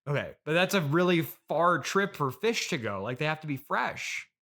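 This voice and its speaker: A man's voice: on the high side, big pitch swings, normal speed, pushed and bright. A man in his thirties hosting a casual improvised comedy interview, hesitant and questioning, with a dryly pedantic streak. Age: 30s. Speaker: podcast host and interviewer.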